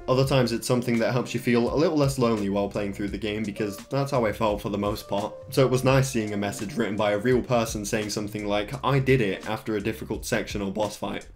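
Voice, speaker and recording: A man with a lowish voice talks through his playthrough in a relaxed, self-deprecating voice-over. His voice sounds a little tired and worn from long recording. Faint game music plays softly beneath him. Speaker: gaming video creator. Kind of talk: casual game commentary voice-over.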